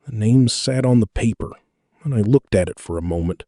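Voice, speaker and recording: A man's voice: lowish voice, fairly quick, dark tone, no room sound, clean studio sound. A man with a Canadian accent reading a first-person story as scripted audiobook narration.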